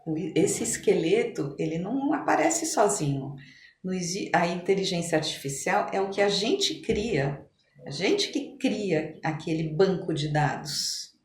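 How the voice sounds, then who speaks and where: A woman with a Brazilian accent explaining things conversationally as an interview guest, in a halting, emphatic way like a medical professional. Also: lowish voice, big pitch swings. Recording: echoey room, faint background noise.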